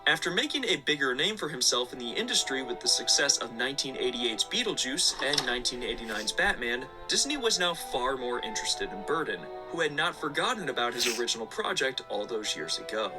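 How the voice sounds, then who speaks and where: A man's voice: medium pitch, big pitch swings, a little fast, hardly any pauses, poor audio. A man narrating a scripted video essay with casual asides. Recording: background music under the voice. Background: music.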